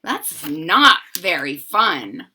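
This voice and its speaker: A woman reading a children's picture book aloud in an expressive, sing-song manner, speaking directly to young listeners. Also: lowish voice, very slow, a little hiss or hum.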